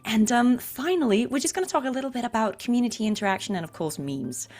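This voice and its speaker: A woman's voice: on the high side, lively intonation, fast talker, a little mellow. Casual, chatty woman hosting an interview. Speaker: interview show host.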